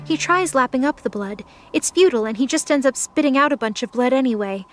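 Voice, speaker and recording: A woman narrates an audio drama in a high-pitched voice. Her delivery is scripted, dramatic storytelling. Music plays clearly behind her. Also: expressive, fast.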